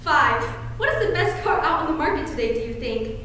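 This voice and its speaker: Young woman acting fussy, very high-pitched genteel matron. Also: animated, brisk, fairly bright tone. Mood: coaxing, instructive. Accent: American. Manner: sugary, mannered, coaxing, flustered exclamations. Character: fussy, genteel Southern matron aunt, cajoling and easily flustered. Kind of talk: high school stage play acting. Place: indoor school stage, filmed performance. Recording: echoey hall, distant camera, faint noise.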